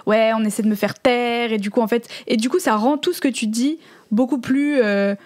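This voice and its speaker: A woman's voice: a little high, fairly even intonation, normal speed, a little mellow, no room sound. Thoughtful woman podcast host, dry mic. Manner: thoughtful, hesitant with fillers. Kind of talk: casual chat, scripted show intro.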